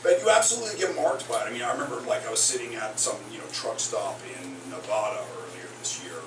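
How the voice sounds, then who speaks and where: A touring songwriter, a man, chatting onstage between songs in a halting, rambling way full of fillers. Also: lowish voice, music in the background. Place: concert house, live in front of an audience. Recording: roomy live hall, distant, noisy.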